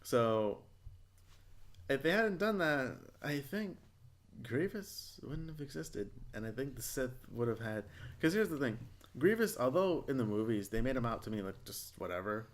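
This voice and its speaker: A man hosting a casual podcast, retelling stories in a rambling, profane, animated way. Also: medium pitch, moderate pace. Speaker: podcast host.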